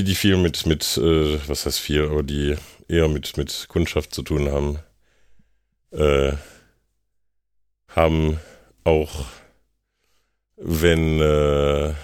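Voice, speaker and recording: A young man hosting a casual podcast chat, explaining things in a halting, deliberate way with dry jokes. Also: rumbling low, a little mellow, clear, resonant tone, dry recording. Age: young adult.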